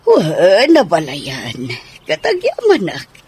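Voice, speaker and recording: A woman playing an excitable mother gossiping with an in-law in an Ilocano radio drama. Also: fairly low pitch, slow pace, slight background noise, exaggerated voice.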